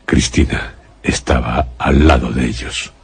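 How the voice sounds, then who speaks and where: An actor narrating a gothic radio suspense drama in a solemn, literary manner. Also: male voice, rumbling low, fairly even intonation, measured pace, vocal fry, husky, no echo, full character voice. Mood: solemn, suspenseful. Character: grave male narrator of a gothic suspense tale.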